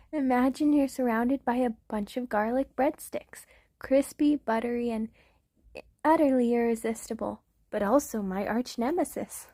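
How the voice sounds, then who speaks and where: A woman's voice: high-pitched, no room sound, studio quality, full character voice. A woman voicing a clumsy, goofy male vampire count in a comedic ASMR roleplay, giggly and theatrical. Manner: giggly, theatrical, breaks into laughter.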